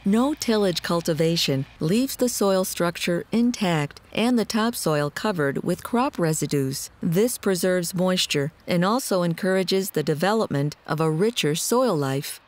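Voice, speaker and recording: A woman reading scripted documentary narration in a measured, articulate, explanatory way. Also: on the low side, animated, a little mellow.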